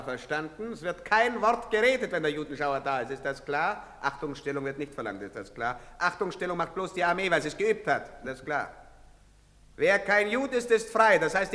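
An actor playing a brusque soldier who barks orders at townsfolk in a radio play. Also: male voice, sits high, bright, forceful tone. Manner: barking, commanding. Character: brusque young male soldier barking orders. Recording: long reverb.

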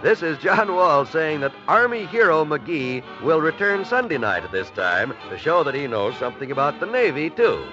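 A man's voice: a little high, very animated, moderate pace, hardly any pauses. A man reading network announcements in a 1950s radio announcing style. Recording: muffled old radio recording, faint music.

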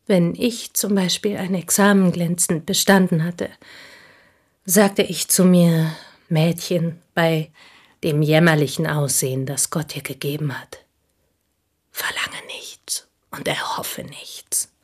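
Husky, bitter confiding woman. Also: lowish voice, fairly even intonation, slow. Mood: bitter, reflective. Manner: confiding, intense, often dropping into breathy whispers. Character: plain, bitter woman confiding long-held envy and secret control. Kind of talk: German radio play dialogue, intimate monologue.

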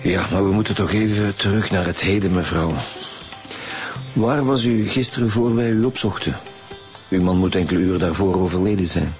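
An actor with a Belgian Dutch accent playing a businesslike, dry-witted chief homicide detective in a radio drama, matter-of-fact and probing. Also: male voice, low-pitched, normal speed, darkish tone, faint music behind, lightly acted. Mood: probing, polite.

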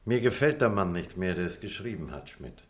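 A man acting a thoughtful, self-critical middle-aged poet in a literary radio play, speaking in a measured, eloquent, earnest way. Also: deep voice, animated. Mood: reflective, quiet.

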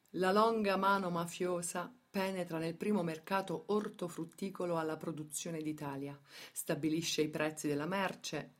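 Low-pitched woman narrating radio theatre. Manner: measured, emphatic, phrases set apart by pauses. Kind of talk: dramatic scripted narration, documentary monologue.

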